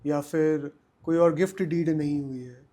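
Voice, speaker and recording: A man's voice: a little high, steady tone, a little slow, mellow. A man giving explanatory answers as a legal expert on a podcast, emphatically repeating key points and mixing in English terms.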